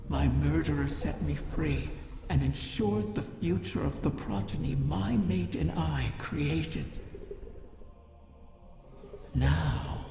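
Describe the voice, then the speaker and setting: A woman voicing an ancient female wish-granting dragon in a video game, wistful, sly and regal, with ornate, deliberate phrasing. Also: low voice, gravelly, heavily acted. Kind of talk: slow theatrical game dialogue. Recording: long cavernous reverb, music behind.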